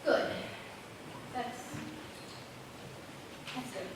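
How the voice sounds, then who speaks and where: A young female student actor playing a lonely, wounded teenage girl in grief in a stage play. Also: drawn-out words. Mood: tender, sad. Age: teens to young adult. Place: school stage performance. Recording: echoey hall, distant mic, background noise.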